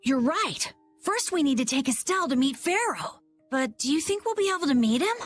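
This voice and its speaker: A high-pitched, very lively young boy acted by a woman from a video game. He is earnest and eager to explain, with a bashful streak of self-doubt, over faint music. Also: brisk. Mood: unsure, bashful. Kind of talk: animated RPG video game dub. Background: faint background music.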